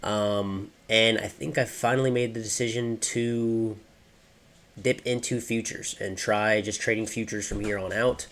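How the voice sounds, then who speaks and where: Young male vlogger, nasal and congested, laid-back and filler-heavy, a bit low-pitched. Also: unhurried. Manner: relaxed, many ums and you-knows. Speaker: trading vlogger. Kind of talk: casual screen-share walkthrough.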